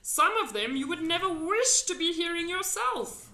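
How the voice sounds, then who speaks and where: A woman's voice: very high voice, big pitch swings, normal speed, bright, forceful tone, echoey room, pitched above the performer's own voice, exaggerated voice. A woman voicing a big, friendly male storybook giant, earnest and easily wounded, with muddled folksy grammar and an emphatic delivery. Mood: wonderstruck.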